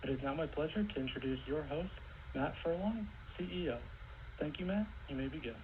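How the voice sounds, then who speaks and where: Man, call operator, brisk scripted announcement, routine formal delivery, medium pitch, tinny telephone audio. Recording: tinny phone line with noise.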